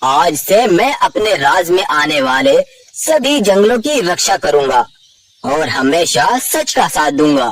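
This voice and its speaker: A man voicing an earnest talking jungle animal in a children's cartoon, with declamatory, deliberate delivery. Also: fairly high pitch, big pitch swings, a bit bright, heavily acted. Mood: solemn. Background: background music.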